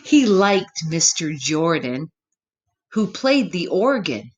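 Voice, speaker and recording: A woman storyteller reading a picture book to children, speaking simply and expressively, with gentle questioning asides to young listeners. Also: on the low side, big pitch swings, takes it slowly.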